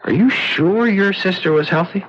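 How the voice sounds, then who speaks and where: An actor playing a skeptical, wisecracking bartender neighbor in a 1970s radio drama, casual, sardonic and streetwise. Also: male voice, high voice, moderate pace, a little hiss or hum, lightly acted. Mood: wry, probing. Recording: dull old radio broadcast recording.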